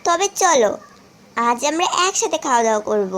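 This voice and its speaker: Woman doing a high-voiced naive cartoon cow. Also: sing-song, normal speed. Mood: friendly. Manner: high, childlike, lows "hamba". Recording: voice over loud music.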